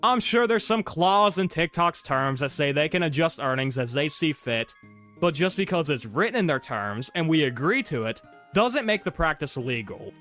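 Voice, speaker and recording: A man ranting sarcastically about his frustrations, his voice hoarse from being sick. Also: fairly high pitch, very animated, moderate pace, pushed and bright, faint music behind. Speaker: content creator. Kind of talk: ranting commentary video.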